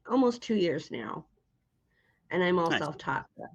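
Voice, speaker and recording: Female interviewer, lower-pitched, casual and giggly conversational delivery, somewhat muffled lo-fi sound. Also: very animated, measured pace. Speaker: interviewer, podcast host. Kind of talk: casual chat.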